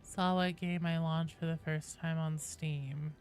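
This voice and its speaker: A woman's voice: low-pitched, flat delivery, unhurried, darkish tone, faint music behind. A woman chatting casually to viewers as a game livestreamer, playful and joking, with some swearing. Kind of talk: casual livestream chat while gaming.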